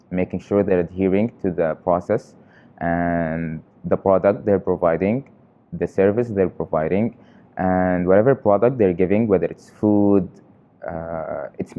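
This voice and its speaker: A young man from Qatar, a research trainee, answering interview questions in an earnest, halting way with frequent pauses. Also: sits low, phone-quality sound. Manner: earnest, halting, often pausing on fillers.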